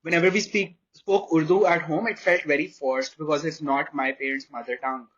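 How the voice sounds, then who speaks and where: A young man from Pakistan talks loosely as a podcast guest, circling his thoughts with plenty of fillers. His voice sits a little high, and the recording sounds slightly muffled and lo-fi. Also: fairly quick, husky. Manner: relaxed, rambling, many fillers. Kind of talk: casual podcast chat. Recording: slightly dull lo-fi audio.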